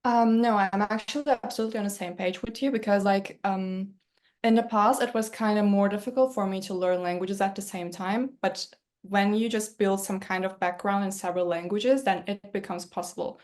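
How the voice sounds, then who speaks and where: A woman's voice: mid-range voice, steady tone, a little fast, darkish tone. Easygoing woman, Turkish native speaker. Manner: easygoing, laughs often, filler "kinda". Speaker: polyglot guest. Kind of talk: casual conversation.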